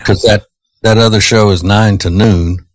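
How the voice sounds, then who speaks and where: A man's voice: on the low side, fairly even intonation, normal speed, dry recording. A male radio host chatting casually on a morning show, his voice slightly strained. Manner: chatty, teasing, rambling. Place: radio studio. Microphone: studio microphone.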